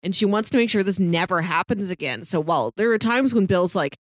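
A woman's voice: fairly low pitch, expressive, a little fast, no echo. A woman co-hosting a casual, conversational discussion about film. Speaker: podcast co-host.

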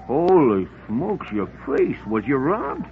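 An actor playing a rough, good-hearted cab driver in a 1950s radio drama, with folksy, ungrammatical working-class speech. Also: male voice, medium pitch, sing-song, slow pace. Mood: startled concern. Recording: muffled, noisy vintage radio recording, narrow band.

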